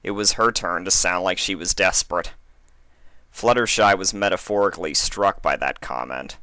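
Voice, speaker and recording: A man's voice: deep voice, normal speed, sharp tone, no room sound, studio quality. A man narrating a written story as a dramatic reading. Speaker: fan fiction reader.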